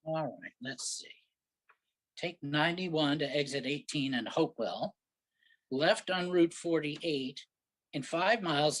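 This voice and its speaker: A man playing an impatient, grumbling New York husband lost in the countryside, in a staged reading of a comic play. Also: a little high. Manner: sarcastic, grumbling.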